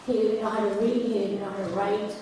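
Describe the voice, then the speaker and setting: A hoarse woman, a parent losing her voice, testifying apologetically but heartfelt at a hearing. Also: mid-range voice, level tone, brisk, warm tone, a little hiss or hum. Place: large hall, indoors. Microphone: public microphone. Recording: echoey hall from far off.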